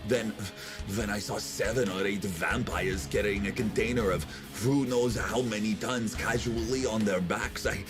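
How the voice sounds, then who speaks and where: A man's voice: lowish voice, normal speed, lightly acted. An actor playing a frightened, whining truck driver who pleads his innocence in a video game cutscene. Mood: nervous recounting. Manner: pleading, defensive. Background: game music.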